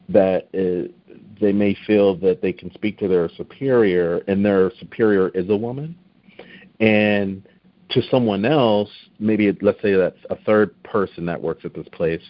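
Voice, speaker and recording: Deep-voiced male host, measured and thoughtful, in a dry, dull-sounding recording. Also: no room sound. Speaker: interview host. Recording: dry, slightly dull lo-fi audio.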